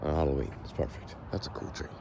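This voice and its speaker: A hoarse young man with a gravelly voice talks at the very bottom of his range. He rambles casually to a handheld phone as he walks outside. Passing traffic and outdoor noise sit clearly behind him. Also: extremely low pitch, steady tone, quick pace. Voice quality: raspy. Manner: rambling, musing to camera. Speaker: vlogger. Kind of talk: casual walking vlog. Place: outdoors, walking on a foggy street.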